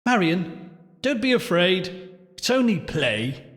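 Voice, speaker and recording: A man's voice: high-pitched, big pitch swings, slow, fairly bright tone, heavily acted. A man voicing an anxious woman in a horror story, calling out with urgent, pleading delivery. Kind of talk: dramatic horror story reading. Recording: long cavernous reverb.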